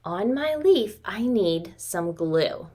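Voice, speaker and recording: Slow-paced woman teaching little kids. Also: medium pitch, big pitch swings, warm tone, slight background noise. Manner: simple, child-directed talk, addressing listeners as friends. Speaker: preschool teacher. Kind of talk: craft demonstration for young children. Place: small room at home.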